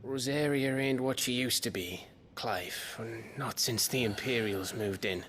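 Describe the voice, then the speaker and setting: A man playing a gruff, loyal scout in a video game, colloquial and grudgingly affectionate. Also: medium pitch, unhurried, fairly bright tone, a little hiss or hum, natural voice. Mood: wry, cautioning. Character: gruff, loyal working-class male scout, fond and awkward. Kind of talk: dramatic video game acting.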